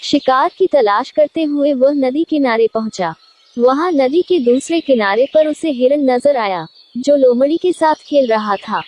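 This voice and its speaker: A woman with a high voice narrates a scripted story for children. She speaks as a storyteller presenting a fable. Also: a little mellow, a little hiss or hum.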